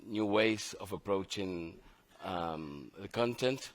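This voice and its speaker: Argentine male moderator, native Spanish speaker, deep voice, halting and searching delivery. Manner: hesitant, halting phrasing. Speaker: panel moderator. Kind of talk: live panel moderation.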